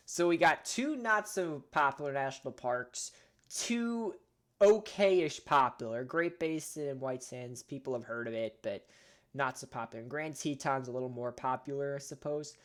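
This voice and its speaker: A man's voice: on the high side, fairly bright tone. A man with a northern US accent, casually reading out simulated game events with offhand jokes and stumbles over names. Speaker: gaming channel host.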